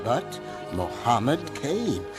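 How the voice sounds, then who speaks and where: An actor playing an old man, a protective uncle and clan elder, speaking earnestly in an animated film. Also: mid-range voice, takes it slowly. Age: older. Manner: earnest, pleading, steadfast. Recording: animated film with music behind.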